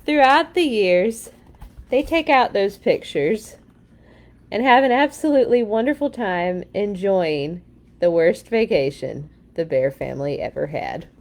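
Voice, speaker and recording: A woman's voice: mid-range voice, expressive, a little slow, darkish tone. A woman hosting a children's read-along, reading stories expressively and dropping in casual asides.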